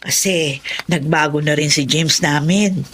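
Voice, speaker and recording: A woman's voice: sits low, measured pace, fairly bright tone, a little hiss or hum. An actress playing a fretful, kindly older Filipino mother in a drama, exclaiming and soothing by turns. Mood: reassuring.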